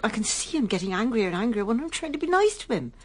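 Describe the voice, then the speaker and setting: A woman's voice: on the high side, sing-song, fast, slightly rough. An actress playing a timid, earnest married woman in a radio drama, hesitant, deferential and easily flustered. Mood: flustered, distressed.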